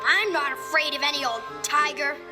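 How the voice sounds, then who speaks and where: A woman's voice: high voice, moderate pace, natural voice. A woman voicing a plucky, curious little jungle boy in a cartoon, childlike and defiant. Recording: roomy, distant, loud music behind.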